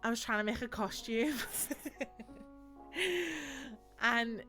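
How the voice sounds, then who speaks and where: A woman's voice: a little high, animated, drawn-out words, bright, forceful tone, faint music behind. A woman hosting a live stream, chatting casually and laughing often, with lots of fillers. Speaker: streamer host.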